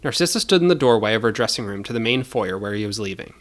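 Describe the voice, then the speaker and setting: A man's voice: on the low side, expressive, fast. A man narrating scripted audio fiction as the storyteller.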